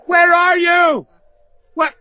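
An actor playing a grave, anxious judge in a gothic horror radio play, with theatrical, deliberate delivery. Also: male voice, extremely high pitch, flat delivery, bright, forceful tone, no echo, exaggerated voice. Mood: terrified. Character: grave, anxious judge searching for his missing son.

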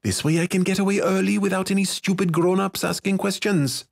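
A man's voice: sits high, fairly even intonation, a little fast, gravelly, voice pushed up. A man voicing a small, stubborn, feisty young girl in a fantasy storybook, with a snappy, firm manner. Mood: terse, firm.

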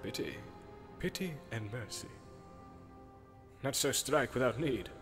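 A man voicing a wise old mentor who speaks quietly and solemnly with compassion, as a remembered voice in a fantasy story. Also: medium pitch, lively intonation, over music, natural voice. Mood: solemn admonishing. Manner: hushed, deliberate, admonishing.

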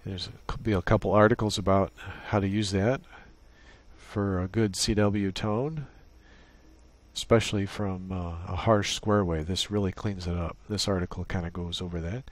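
A man's voice: sits low, fairly even intonation, moderate pace. A man presenting an unscripted tutorial, explaining a setup casually, step by step. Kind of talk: casual unscripted software walkthrough.

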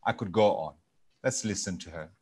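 A man with a lowish voice presents a live broadcast, introducing the next guest from a studio set. He talks in a conversational way, pausing on frequent fillers as he goes. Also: warm tone, husky.

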